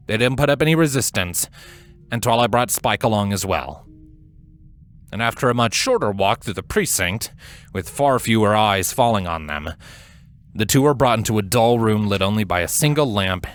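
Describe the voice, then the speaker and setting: Male fiction narrator: lowish, animated, suspenseful dramatic read.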